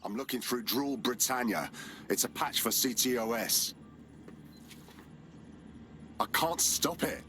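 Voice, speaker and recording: A wary resistance hacker in a game drama. His mid-pitched voice is terse and sceptical but lively in its rise and fall, with noise behind. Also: unhurried, pauses often. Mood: tense. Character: wary, defiant male hacker resistance member. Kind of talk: video game cutscene acting.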